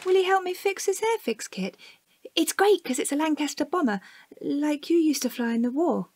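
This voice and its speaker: A woman's voice: high voice, animated, normal speed, a little mellow, no room sound. A woman with a British accent playing a curious, eager young child in a radio play. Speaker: actor. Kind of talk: radio drama dialogue.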